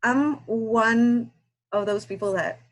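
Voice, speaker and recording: A woman from Guatemala, English not her first language, chatting over a video call in a halting way, with many fillers and restarts. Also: a little high. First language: Spanish. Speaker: guest reader. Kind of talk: casual video call chat.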